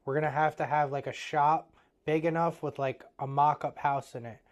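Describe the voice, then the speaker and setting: A man in his thirties with an Eastern Pennsylvania accent talks shop about his plumbing business. He is blunt and motivational and uses plenty of casual fillers. His voice is smooth and clean, and sits a bit high for a man. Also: steady tone, moderate pace. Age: 30s.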